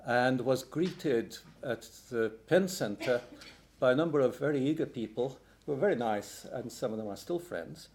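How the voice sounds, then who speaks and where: An older man originally from Hungary speaks on a roundtable panel. His voice sits in a middle pitch, and he talks haltingly, with frequent ums and restarts. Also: lively intonation, a little mellow. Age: 60s. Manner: hesitant, many ums and restarts. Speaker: poet and translator on a panel. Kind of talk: panel talk, personal account.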